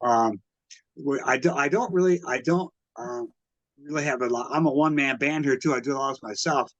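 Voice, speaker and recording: A man gives casual, rambling explanations as an interview guest. His voice has a medium pitch, and the sound is dry and close, though dull and lo-fi. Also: fairly quick, no room sound. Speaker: museum founder and curator, interview guest.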